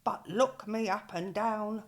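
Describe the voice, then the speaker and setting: A woman's voice: medium pitch, steady tone, slow. A woman voicing a kind, cheerful male giant who chants little rhymes in a storybook reading. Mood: sing-song. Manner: sing-song rhyming chant.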